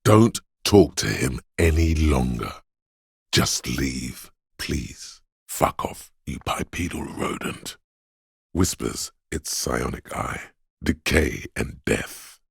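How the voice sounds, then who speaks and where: A man voicing a paranoid, aggressive inner voice of primal fear in a video game, menacing, urgent and ominous, with a gravelly, husky sound. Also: rumbling low, animated, takes it slowly, dry recording, clean studio sound, exaggerated voice. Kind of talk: video game voice acting, second-person dramatic narration.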